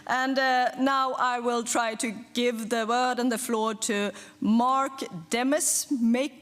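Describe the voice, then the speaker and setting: A woman's voice: fairly high pitch, steady tone, takes it slowly, fairly bright tone. A woman chairing a plenary session, talking in an informal, apologetic way with fillers. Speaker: conference chair.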